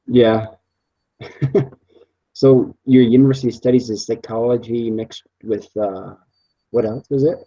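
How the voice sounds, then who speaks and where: A young man in his twenties, a student chatting easily with classmates on a video call, speaking haltingly with many uhs. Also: medium pitch, steady tone, warm tone, muffled recording. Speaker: student in a group project. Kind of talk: casual chat on a video call.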